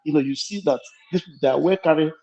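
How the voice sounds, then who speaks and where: A man with an Igbo Nigerian accent comes through a dull, lo-fi call line. His voice sits a bit high yet sounds mellow. He chats easily, telling personal stories. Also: quick pace. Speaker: panel guest. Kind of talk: casual live panel chat. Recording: muffled lo-fi call audio.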